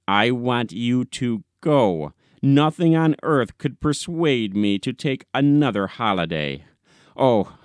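A man's voice: on the low side, clean tone, no room sound, studio quality. A man reading an audiobook, playing an indignant, exasperated chief editor who scolds in a lofty, emphatic way. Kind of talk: dramatic audiobook reading of classic comic short story.

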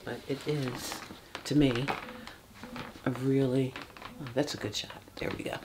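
A soft-spoken woman in her fifties, casually showing a handbag in her bedroom with halting speech and many fillers. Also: extremely low pitch, steady tone, background noise. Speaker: handbag vlogger. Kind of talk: casual product demo. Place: bedroom, seated on a bed. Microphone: external camera mic.

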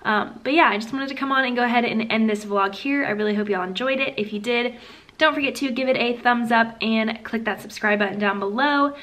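A woman's voice: fairly high pitch, brisk, fairly bright tone. A young woman, chatting casually to the camera as a vlogger from a room at home. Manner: chatty, easygoing, says "like" and "y'all". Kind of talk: casual vlog talk to camera. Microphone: camera on a desk at arm's length.

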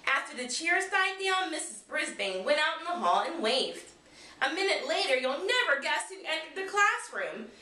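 A young adult woman reading a children's storybook aloud with expressive, animated delivery. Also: sits high. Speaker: guest storybook reader. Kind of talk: scripted storybook narration read aloud. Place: small furnished room, indoors. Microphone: camera mic across the room. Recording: roomy, distant camera microphone.

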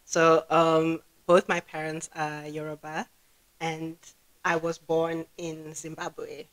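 A woman's voice: low-pitched, completely flat delivery, takes it slowly, no echo, poor audio. A woman in her thirties with a South African accent, speaking candidly as a podcast guest. She talks reflectively with frequent fillers and easy laughter. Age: 30s. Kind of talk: casual podcast conversation. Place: small indoor living room. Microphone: studio mic on a desk stand.

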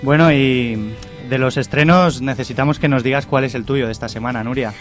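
A man's voice: mid-range voice, a little fast, faint music behind. A young man with a Spanish accent presenting as co-host of a radio show in a studio.

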